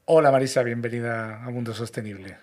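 A man's voice: medium pitch, fairly even intonation, a little fast, darkish tone. A man hosting a radio show, introducing guests in a friendly, casual way with frequent restarts. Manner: friendly, hesitant, repeats words. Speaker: radio host.

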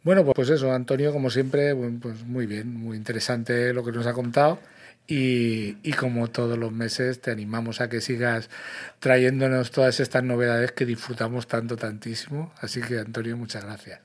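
A man's voice: mid-range voice, flat delivery, normal speed. A man hosting a radio show, introducing guests in a friendly, casual way with frequent restarts. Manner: friendly, hesitant, repeats words. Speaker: radio host.

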